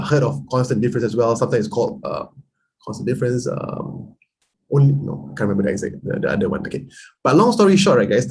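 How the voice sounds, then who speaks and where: Husky man tutoring math. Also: medium pitch, rough recording. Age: 30s. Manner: lively, chatty teaching with quiz questions to students. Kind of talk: online lesson.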